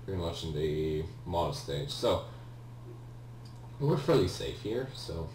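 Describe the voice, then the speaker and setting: Guy doing a lets play, deep voice, kinda rambly and hesitant, mic sounds far off w some hum. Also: very animated, takes it slowly, a little mellow. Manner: hesitant, rambling, self-correcting. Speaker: let's player, video game host. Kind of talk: casual gameplay commentary. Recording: roomy, distant mic with faint hum.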